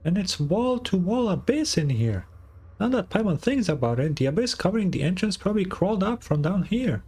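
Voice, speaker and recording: A man's voice: sits high, sing-song, fairly quick, darkish tone, husky, pitched above the performer's own voice, full character voice. A man voicing a small, chirpy, childlike female fairy companion in a video game, bubbly and chatty, with game music behind. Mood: amazed, chatty. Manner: bubbly, chatty, refers to self by name.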